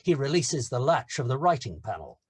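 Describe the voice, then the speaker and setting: A man's voice: mid-range voice, very animated, fairly quick, a little mellow, husky. A man narrating stage directions in a scripted screenplay table read.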